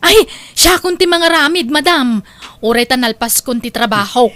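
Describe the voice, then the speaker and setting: Woman acting bossy high-voiced housemaid in comedy drama. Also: lively intonation, moderate pace, sharp tone, no room sound. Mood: obliging. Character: bossy, scheming housemaid, quick to grumble. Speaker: voice actress.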